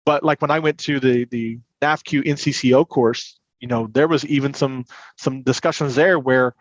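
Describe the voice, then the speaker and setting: A man's voice: mid-range voice, steady tone, normal speed. A man talking as an expert interview guest, explaining things earnestly in a conversational tone on muffled, roomy audio. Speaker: podcast guest, credit union compliance and recovery manager.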